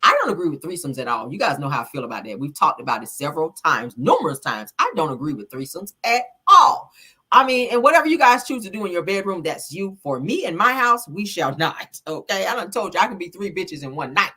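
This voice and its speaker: Bright-voiced outspoken woman host. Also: on the low side, very animated, a little fast, slightly rough. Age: 30s. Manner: blunt, preachy, talks to chat. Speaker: live show host. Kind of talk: casual live-stream commentary.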